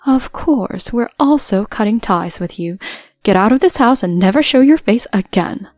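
A protective older mother-in-law speaks with a sharp edge, as a woman narrator puts her on. The sound is dry and close, with soft music underneath. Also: medium pitch, animated, normal speed, no echo, natural voice. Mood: angry. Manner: gentle toward Emily, sharp toward her son.

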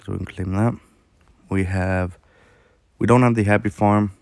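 A man with a sore, hoarse throat, rambling through casual commentary while playing a mobile game, with lots of fillers and restarts. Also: on the low side, steady tone, moderate pace, darkish tone, dry recording.

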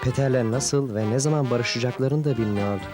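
A man narrating a children's cartoon in a scripted storytelling style with measured phrasing. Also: medium pitch, music in the background.

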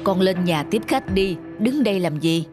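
An actress playing a kindly elderly mother-in-law in a cartoon, calm and gently directing, with a northern Vietnamese accent. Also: medium pitch, normal speed, over music, lightly acted. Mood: calm, practical. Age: older. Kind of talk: animated moral-tale dialogue.

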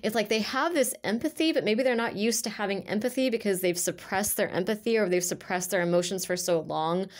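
A woman's voice: medium pitch, a little fast, hardly any pauses. A woman giving a casual tarot reading, with a stuffy, nasal voice from a sinus infection. She talks earnestly and rambles, full of "like" and restarts. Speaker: tarot reader. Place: indoors at a table with cards on purple cloth.

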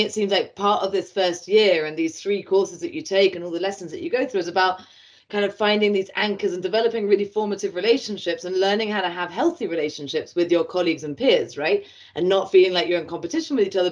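A woman's voice: medium pitch, lo-fi sound. A woman moderating a student panel over a video call, talking in long reflective turns full of fillers and "right?" tags, from a home room. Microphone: laptop webcam on video call.

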